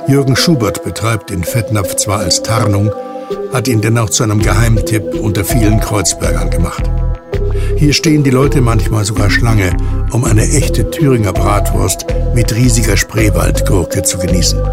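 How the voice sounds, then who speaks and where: A man narrating a scripted audio drama in a measured, descriptive storytelling manner. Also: lowish voice. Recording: loud music under narration. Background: loud music.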